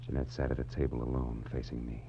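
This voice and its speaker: An actor playing a hard-boiled, weary private detective, narrating and talking in terse, wry wisecracks in 1940s noir radio style. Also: male voice, very deep voice, level tone, quick pace, warm tone, slight background noise. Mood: tense, wry. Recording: muffled old radio recording, music behind.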